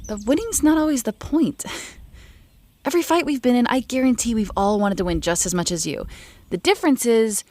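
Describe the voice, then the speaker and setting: A woman's voice: fairly high pitch, animated, moderate pace, darkish tone, no echo, close to an everyday voice. A woman playing a laid-back, teasing teenage girl superhero in an audio drama, with a wry, casual delivery. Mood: candid. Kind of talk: scripted audio drama acting.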